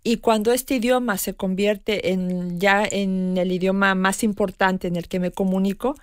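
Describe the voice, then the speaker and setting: A woman in her fifties, a guest on a radio talk show, speaking in a thoughtful, measured way with frequent pauses and hesitations. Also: mid-range voice, fairly even intonation, clean tone, no room sound, studio quality. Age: 50s. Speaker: guest expert on language learning. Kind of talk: radio conversation.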